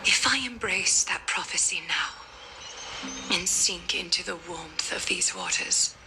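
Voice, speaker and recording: A woman voicing a weary, solemn ancient demigod in a video game, soft, breathy and reflective, over music. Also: fairly low pitch, sing-song, slow, full character voice, rough recording. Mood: solemn, resigned. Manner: reflective, poetic, gentle. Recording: music under the voice. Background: game soundtrack music.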